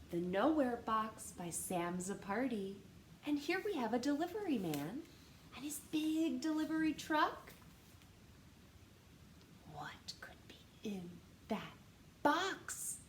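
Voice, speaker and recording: Expressive high-pitched woman reading to children. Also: slow pace, long pauses. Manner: expressive teacher-like delivery, dramatic gasps and emphasis. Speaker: storytime reader. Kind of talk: animated children's read-aloud with questions and word explanations. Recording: roomy echo with faint background noise.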